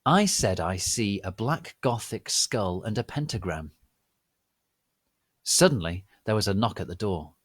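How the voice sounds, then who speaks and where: A man narrating a story as a dramatic reading, theatrical and deliberate, close to the microphone. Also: lowish voice, sing-song, husky, no room sound. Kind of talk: dramatic scripted fanfiction reading.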